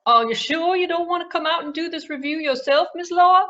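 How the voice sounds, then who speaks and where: A woman's voice: very high-pitched, a little fast, a bit bright, highs cut off, voice pushed up. A woman voicing a self-important, theatrical male puppet Broadway critic in a grandiose manner for a children's puppet show. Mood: eager, fussy. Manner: grandiose, dramatic, with repeated phrases.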